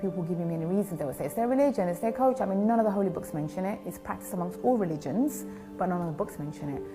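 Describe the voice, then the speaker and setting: Woman, lowish voice, grave measured testimony, music behind. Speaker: survivor giving testimony. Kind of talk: personal testimony.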